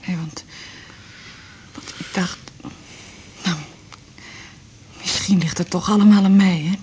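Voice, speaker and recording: An actress playing an anxious, bewildered middle-aged mother, fretful and halting, in a 1980s radio drama. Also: fairly low pitch, steady tone, noisy background. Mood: shaky, insecure. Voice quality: breathy, husky. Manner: fretful, repeating herself, halting.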